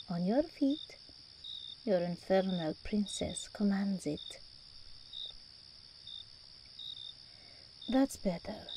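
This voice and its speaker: A woman's voice: mid-range voice, vocal fry, noisy background. A woman playing a regal, seductive demon princess in an ASMR roleplay, theatrical and teasing, commanding yet tender with her endearments.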